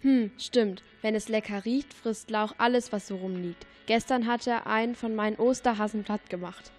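A woman's voice: a little high, moderate pace, darkish tone, soft background music, natural voice. A female performer playing a chatty, eager girl detective in a German radio play.

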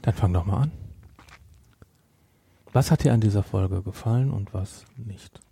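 A man's voice: on the low side, unhurried, gravelly, warm tone, breathy edge, no room sound. A man hosting a podcast, discussing a story casually and emphatically, often repeating and rephrasing his points. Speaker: podcast host. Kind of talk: casual discussion, reviewing an audio drama.

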